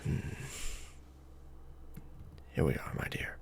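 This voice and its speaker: A man playing a courtly, protective, brooding vampire lord in a soft, formal ASMR romantic roleplay. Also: natural voice. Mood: pensive, suspicious. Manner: formal, archaic wording, slow and hushed.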